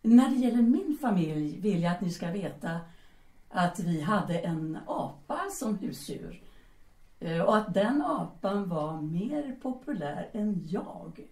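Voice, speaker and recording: A self-possessed, provocative woman of letters, acted by a woman in an audio drama. She is direct and teasing, with very lively intonation. She sounds a little away from the mic in an echoey room. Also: on the low side, distant mic. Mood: confiding. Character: provocative, frank, self-possessed female writer in 1890s Paris. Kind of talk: Swedish audio drama acting.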